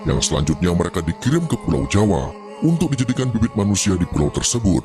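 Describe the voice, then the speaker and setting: Low-voiced man narrating, music behind. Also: very animated, fast. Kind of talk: scripted storytelling narration of legends.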